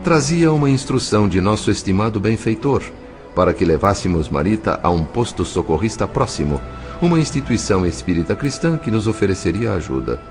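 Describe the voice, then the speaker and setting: A man's voice: fairly low pitch, big pitch swings, brisk. A man with a Brazilian accent narrating an audio novel in a solemn, literary, carefully phrased style. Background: faint music.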